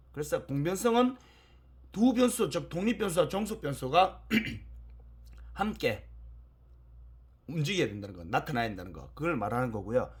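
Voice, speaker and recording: A man's voice: on the high side, husky. A man lecturing in an explanatory, emphatic way, repeating key points and asking rhetorical questions.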